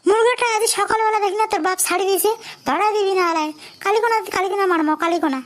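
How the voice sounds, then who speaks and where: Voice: very high-pitched, rapid-fire, fairly bright tone. A performer voicing a placating village mother in a comedy cartoon, coaxing hurriedly in a North Bengal accent.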